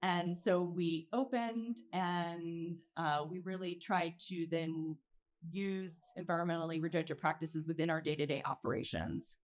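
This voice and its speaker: A woman with a fairly low voice gives an informal slide presentation. She explains things in measured phrases, often halting and pausing as she goes. She speaks as the presenter of an organization's projects. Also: fairly even intonation. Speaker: presenter, arts organization founder. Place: event pavilion.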